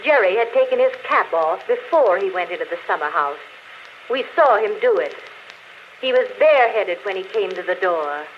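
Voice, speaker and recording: An actress playing an unrepentant murderess confessing in an intimate, matter-of-fact way, in 1940s radio drama style. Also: female voice, medium pitch, expressive, normal speed, natural voice. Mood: calm, unrepentant. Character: murderess confessing on a phonograph record, coolly unrepentant. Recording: dull, noisy old radio recording.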